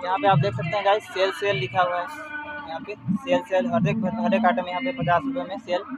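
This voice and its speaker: A man's voice: sits high, monotone, fast, loud music behind the voice. A man giving casual running commentary as a vlogger at a market fair. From India. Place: busy outdoor evening fair with stalls. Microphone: handheld phone. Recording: muffled phone audio, loud market noise.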